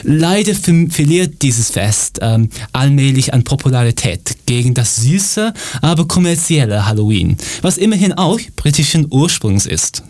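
A man's voice: medium pitch, sing-song, normal speed, few pauses, darkish tone. A man giving a class presentation hesitantly, stumbling over some words. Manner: hesitant, with fillers and restarts. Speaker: student presenter. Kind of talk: read-aloud class presentation.

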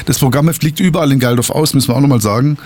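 A man hosting a local radio show, chatting casually and adding relaxed asides about the bands. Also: medium pitch, very fast. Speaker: radio host.

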